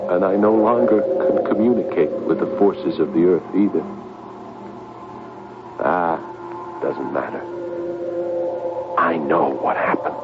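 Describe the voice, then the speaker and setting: An actor playing a haunted, grimly certain sanitarium patient in a radio drama, brooding and halting. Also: male voice, deep voice, heavy background noise. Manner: slow, brooding, halting delivery. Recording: muffled old radio broadcast, loud music behind.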